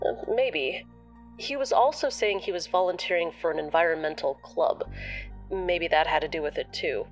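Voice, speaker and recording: A woman playing a casual young acquaintance on a phone call, talking in a halting, hesitant way. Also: on the low side. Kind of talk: naturalistic audio drama phone conversation.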